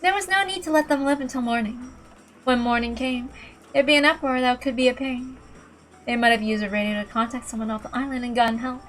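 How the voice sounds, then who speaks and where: A woman performs a cold, unconcerned woman killer from a visual novel. She speaks in a calm, mocking, smug way with a slightly high voice, over background music. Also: a little fast. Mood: cold, reasoning. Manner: calm, smug, matter-of-fact. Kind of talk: dramatic visual novel character reading.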